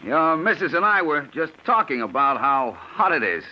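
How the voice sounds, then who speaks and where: Muffled old radio play: folksy evasive neighbor, fairly high male voice, over-casual, faint noise. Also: sing-song, normal speed. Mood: falsely casual. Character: chatty, evasive small-town male neighbor. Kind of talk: 1940s radio drama acting.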